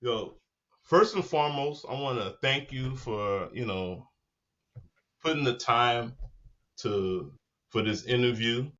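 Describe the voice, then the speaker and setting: A man's voice: mid-range voice, expressive, slow pace, pauses often, a bit bright. A man hosting a casual interview, speaking in slang and chiming in with agreement, on slightly muffled audio. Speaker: interviewer, host.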